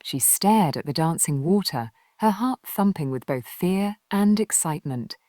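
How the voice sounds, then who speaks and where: A woman reading a scripted children's story in an expressive, gentle storybook manner. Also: on the low side, a little slow, no room sound, studio quality.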